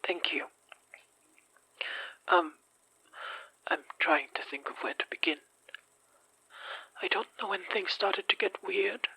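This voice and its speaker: Horror audio drama: a reluctant, apologetic survivor of a fire, rasping yet bright, halting delivery. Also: female voice, fairly low pitch, no echo, heavily acted, poor audio. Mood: hesitant, weary. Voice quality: hoarse, breathy. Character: shaken, hesitant fire survivor recounting something strange.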